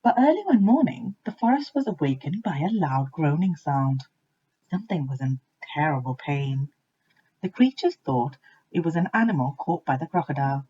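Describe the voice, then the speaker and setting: A woman reading a children's storybook aloud with expressive narration, like a teacher to young listeners. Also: low-pitched, moderate pace, breathy edge, muffled recording.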